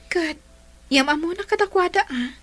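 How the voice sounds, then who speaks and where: A woman playing a devoted, patient young girlfriend in a radio drama, speaking with gentle persuasion. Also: very high-pitched, moderate pace. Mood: concerned.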